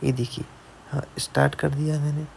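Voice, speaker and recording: A man walks viewers through fixing a phone on his computer, explaining each step and pausing to check they follow. His voice is gravelly and mellow, held in a flat, even pitch. A faint hiss sits under the recording. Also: rough recording. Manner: explains step by step, keeps adding "theek hai". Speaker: mobile repair technician. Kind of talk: screen-recorded tutorial narration. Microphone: voice-over on a screen recording.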